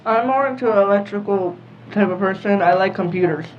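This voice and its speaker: A man's voice: high voice, brisk, a crowd in the background. Thirteen-year-old boy, slightly muffled. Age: 13, young teenager. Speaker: talk panelist. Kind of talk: casual explaining in a group chat. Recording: slightly dull audio with faint music.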